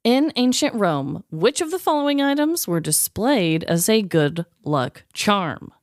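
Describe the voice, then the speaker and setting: A woman co-hosting a podcast with casual comic storytelling and banter, animated and sweary, acting out dialogue. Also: medium pitch, unhurried, dry recording, clean studio sound. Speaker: podcast co-host.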